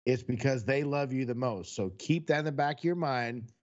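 A man's voice: mid-range voice, moderate pace, a little mellow. Earnest man, slightly muffled recording. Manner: earnest, gives advice to listeners. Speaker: podcast co-host. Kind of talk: casual chat. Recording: slightly dull, lo-fi sound.

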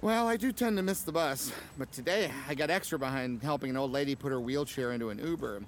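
A man's voice: medium pitch, fast, slight background noise, close to an everyday voice. An actor playing a hapless, good-natured and apologetic ad man in a comic audio drama. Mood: flustered, good-natured. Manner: apologetic, eager to please. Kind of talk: audio drama comedy acting.

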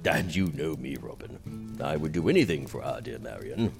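A man's voice: a bass voice, lively intonation, normal speed, vocal fry, soft background music, heavily acted. An actor playing a pompous, drunken, self-indulgent prince acting as king in a theatrical, haughty medieval audio drama. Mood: tipsy, amused.

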